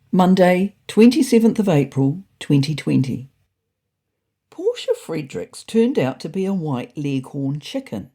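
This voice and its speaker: A woman's voice: low-pitched, warm tone, husky. A woman storyteller reading a scripted comic first-person story in a dry, mock-serious manner.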